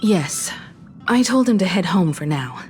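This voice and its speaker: An actress voicing a poised, mature woman mentor who speaks in a calm, composed and gently authoritative way. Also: lowish voice, measured pace, soft background music.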